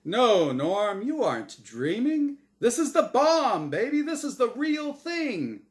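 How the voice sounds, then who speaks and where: A man voicing a glib, showy angel who hosts a game show, with booming announcer patter, in a story reading. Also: very animated, slow pace, exaggerated voice. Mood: glib, upbeat.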